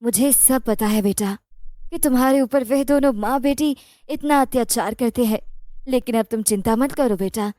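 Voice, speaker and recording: A woman's voice: on the high side, normal speed, mellow, no room sound, clean studio sound, close to an everyday voice. A woman voicing the tender ghost of a dead mother in a cartoon story, soothing and reassuring. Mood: comforting. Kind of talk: Hindi cartoon moral story.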